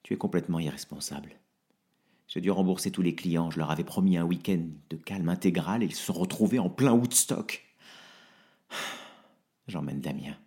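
A man's voice: deep voice, expressive, moderate pace, slightly rough, full character voice. A man voicing a weary, heartbroken woman. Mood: broken, tired. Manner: quiet, clipped, voice breaking.

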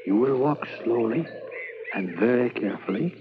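An actor playing a calm leading a raid, in 1950s radio drama, speaking in quiet, measured commands. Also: male voice, mid-range voice, noisy background, close to an everyday voice. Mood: commanding. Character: adult male French-Canadian Mountie corporal, calm leader. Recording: muffled old radio broadcast.

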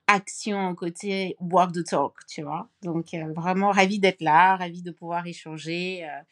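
Woman, middle-aged, from Cameroon, lowish voice, hesitant, many fillers, podcast guest. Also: steady tone, unhurried. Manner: hesitant, many fillers and restarts, warm laughs. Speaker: podcast guest, foundation founder. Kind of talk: conversational interview.

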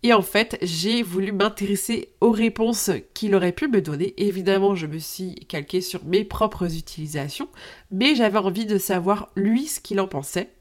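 A woman's voice: mid-range voice, normal speed. A young adult woman hosting a podcast with a head cold and a nasal voice, chatting upbeat and straight to the listener. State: head cold, stuffy nose. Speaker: podcast host. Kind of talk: casual solo podcast talk to the listener.

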